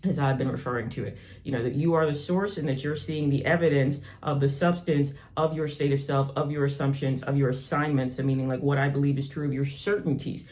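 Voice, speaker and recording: A man with a Southern US accent talks as a spiritual teacher in a loose, conversational monologue. His voice sits at an average pitch. He sounds earnest and reflective as he explains his ideas. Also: steady tone, brisk, hardly any pauses, a little mellow. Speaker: spiritual teacher and channel host.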